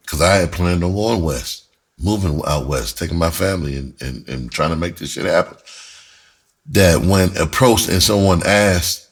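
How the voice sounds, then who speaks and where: A middle-aged American man speaks in a very low, husky voice. He tells his story casually, as if to friends. His delivery is blunt and emphatic, full of swearing and street slang. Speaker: screenwriter telling his own story. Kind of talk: casual first-person storytelling to camera.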